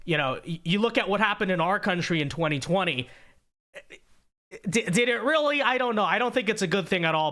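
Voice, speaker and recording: A young man hosts a live-stream commentary show in a high, bright voice that sounds congested. He talks in an animated, energetic way and often stumbles into quick repeated restarts. He speaks in an upbeat way to his viewers. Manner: animated, talkative, frequent stammered restarts. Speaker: host.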